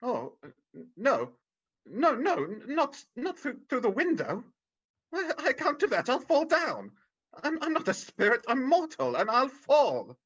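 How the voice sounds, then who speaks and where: A woman's voice: high-pitched, huge pitch swings, a little slow, breathy edge, heavily acted. A woman playing a frightened, bewildered old miser in a radio play, stammering and hesitant. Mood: panicked. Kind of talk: radio play rehearsed reading over video call. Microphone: video call. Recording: dull, lo-fi video call audio.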